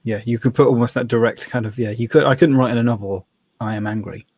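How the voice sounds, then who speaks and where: A man co-hosting a podcast in casual discussion, tentative and rambling, softening his points with small asides. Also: medium pitch, steady tone, fast talker, no echo, studio quality. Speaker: podcast co-host, novelist.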